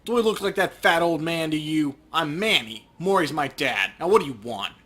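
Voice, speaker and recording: A man's voice: a little high, expressive, moderate pace, pushed and bright. A man voicing a surly, hostile younger meat merchant in a video game, curt and dismissive.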